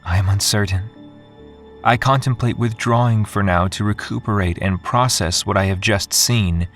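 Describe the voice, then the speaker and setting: An actor playing an introspective researcher, giving a measured, contemplative first-person narration in an audio drama. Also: male voice, on the low side, fairly bright tone. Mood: reflective, uncertain. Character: solemn, introspective male researcher recounting his ordeal. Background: music.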